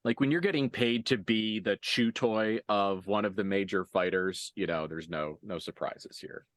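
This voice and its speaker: Low-voiced man narrating a tabletop game. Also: a bit bright, no echo. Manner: halting, conversational, graphic blow-by-blow description. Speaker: game master.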